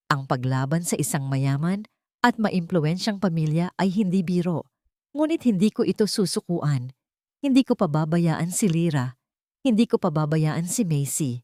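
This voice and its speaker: A woman's voice: fairly low pitch, a little mellow, no echo, clean studio sound. A woman with a Filipino accent reading a dramatic romance story as narrator.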